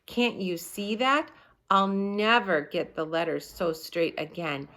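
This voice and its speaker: A woman's voice: fairly low pitch, slow pace, fairly bright tone. A woman voicing a bossy, indignant schoolgirl from a children's storybook, protesting dramatically. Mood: indignant, upset.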